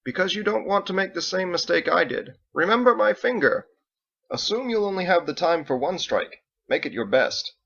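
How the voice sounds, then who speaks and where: A man's voice: sits high, animated, moderate pace, vocal fry, fairly bright tone, slightly rough, muffled recording, pitched above the performer's own voice, full character voice. A man voicing a confident older sword master who lectures his students heartily in a story reading. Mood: lecturing.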